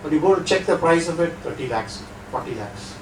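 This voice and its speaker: A middle-aged Indian man gives a lecture to an audience in a reverberant hall, a little higher-pitched than most men. He sits back from the microphone, so the sound reaches it thinly. He talks in a conversational way and keeps tossing rhetorical checks to the room. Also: lively intonation, fairly quick, a little hiss or hum. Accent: Indian English. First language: not Hindi. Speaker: guest lecturer. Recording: echoey hall, distant mic.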